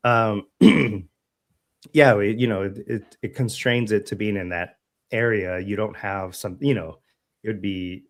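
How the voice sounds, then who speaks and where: An American man co-hosting a podcast, discussing local news casually in a measured, halting way. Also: on the low side. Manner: halting, measured, frequent throat clearing. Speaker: podcast co-host.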